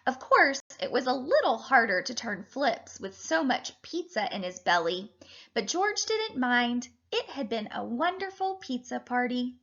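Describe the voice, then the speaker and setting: High-pitched woman reading to children. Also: muffled recording. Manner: animated, deliberate, addressing children directly. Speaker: teacher reading a picture book aloud to children. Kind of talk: expressive children's read-aloud and lesson.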